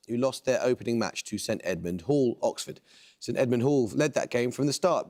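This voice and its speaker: A man hosting a quiz show, reading questions and introducing teams in a measured, precise way. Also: mid-range voice, darkish tone. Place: TV studio.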